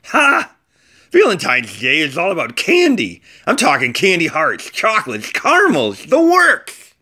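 A storyteller voicing a bossy, scornful paper Valentine heart in a loud, dramatic storybook style. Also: medium pitch, wildly swooping pitch, measured pace, breathy edge, no room sound, studio quality, pitched above the performer's own voice, exaggerated voice.

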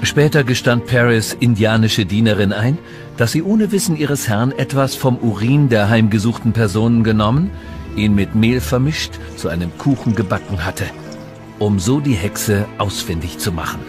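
A man plays a severe Puritan pastor in a documentary dramatization. He preaches gravely and with stern fervor. Music plays behind his voice. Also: lowish voice, animated, moderate pace, lightly acted. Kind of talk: dramatized historical documentary voice-over in German.

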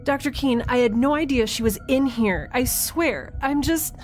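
A woman playing a nervous, wry young scientist who narrates a field log in a halting, conversational way for an audio drama. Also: sits high, faint music behind, close to an everyday voice. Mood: shaken, grieving. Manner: halting, self-interrupting, conversational.

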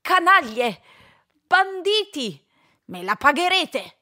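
A screechy, stubborn male chimpanzee from a storybook, acted by a woman. He taunts in a raised, shrill pitch. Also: sing-song, slow, bright, forceful tone, dry recording, studio quality, exaggerated voice. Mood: outraged.

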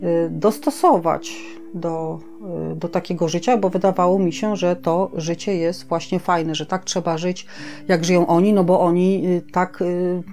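A middle-aged woman co-hosting a podcast, talking casually and reflectively in halting phrases with frequent pauses. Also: on the low side, steady tone, warm tone, soft background music. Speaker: podcast co-host.

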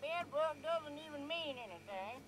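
An actress playing a loving but scolding old grandmother in a video game, chiding in a drawn-out way. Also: sits high, expressive, a bit bright. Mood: scolding, fond. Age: older. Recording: clear background ambience noise.

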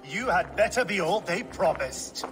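An actor voicing a grizzled, skeptical older Viking jarl with a gruff, commanding manner in a video game. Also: male voice, sits high, lively intonation, unhurried. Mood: wary, scornful. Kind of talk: video game cutscene acting. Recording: music behind the voice.

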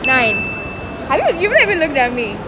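A woman's voice: high-pitched, big pitch swings, fairly quick. A young woman vlogging in a mall, playfully asking strangers to rate her, speaking into a handheld phone. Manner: playful, giggly, teasing. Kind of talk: casual street interview. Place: busy indoor shopping mall. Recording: loud music and crowd behind.

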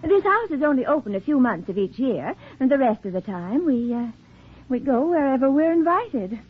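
An actress playing a proud, flustered, long-suffering middle-aged society wife in a 1940s radio play, refined and anxious in manner. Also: female voice, sits high, sing-song, normal speed, husky, full character voice. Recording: muffled, scratchy 1940s radio recording.

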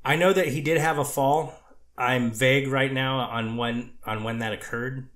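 A man reading the part of a treatment counselor testifying in court, hedging and halting with many restarts. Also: medium pitch, fairly even intonation, fairly bright tone, roomy sound, close to an everyday voice. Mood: measured. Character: earnest male counselor on the witness stand. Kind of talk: amateur readers theater reading of a court transcript.

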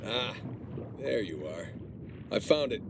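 A man's voice: fairly low pitch, expressive, takes it slowly, noisy background. An actor playing a determined, easygoing male treasure hunter on a salvage dive, talking casually and muttering to himself in a video game. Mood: focused. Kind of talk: naturalistic video game voice acting. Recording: game audio with loud underwater ambience.

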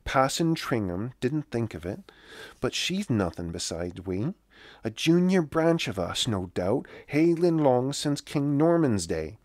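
A man's voice: mid-range voice, big pitch swings, unhurried, a little mellow, no echo, pitched above the performer's own voice. A man voicing a boastful, self-important country husband in pompous rustic dialect for a storybook.

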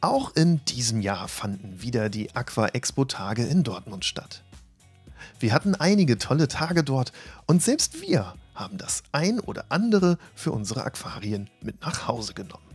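A man reading a scripted voice-over for an event report in a lively, upbeat way, with a slightly husky voice. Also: mid-range voice. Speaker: channel host narrating event report. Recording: faint music bed behind voice.

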